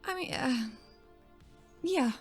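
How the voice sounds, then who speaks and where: A woman playing a guarded, uneasy female character in a tabletop game, halting and understated. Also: high voice, expressive, soft background music. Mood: confused, uneasy. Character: guarded, uneasy woman returning to active duty.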